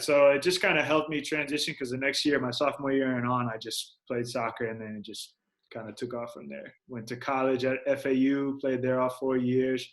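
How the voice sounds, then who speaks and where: A young man with an American accent talks as a guest in a casual interview. His delivery is conversational and loosely rambling, and he slips quoted remarks into his stories. His pitch sits in an ordinary middle range for a man. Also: rough recording. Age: young adult. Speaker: professional soccer player, podcast guest.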